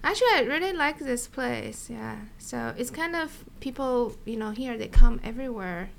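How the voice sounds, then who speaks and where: Chatty woman with a gravelly voice. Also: fairly high pitch, expressive, moderate pace, fairly bright tone, slight background noise. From China. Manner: frequent fillers and laughter, repeats words. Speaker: scientist and podcast guest. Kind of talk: casual interview chat. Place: her office. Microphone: desk mic.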